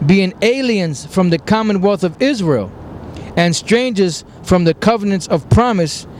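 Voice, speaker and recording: A man preaching outdoors, slowly reading scripture verses aloud and then explaining them. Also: high voice, darkish tone, slight background noise.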